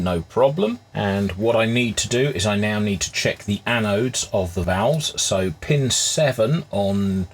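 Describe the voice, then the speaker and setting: Male hobbyist restorer, very low and husky, methodical matter-of-fact demonstrator. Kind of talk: hands-on repair narration, semi-scripted demonstration.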